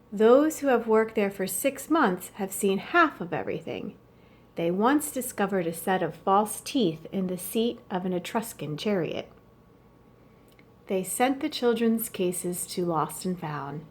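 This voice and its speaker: A woman voicing a wry, bossy old wealthy woman from a storybook in a dry, matter-of-fact way. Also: mid-range voice. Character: wry, imperious old wealthy woman dictating her wishes.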